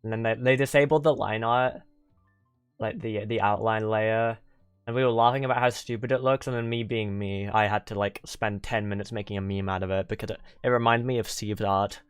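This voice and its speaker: A young streamer with a fairly low voice chats loosely with his viewers, filling his sentences with "like" and stops and starts. His mic sounds close and dry in a home setup. Faint game music plays quietly underneath. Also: steady tone, a little fast, smooth, no echo. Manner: rambling, full of "like" and restarts; venting, exasperated. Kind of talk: casual livestream chat with viewers.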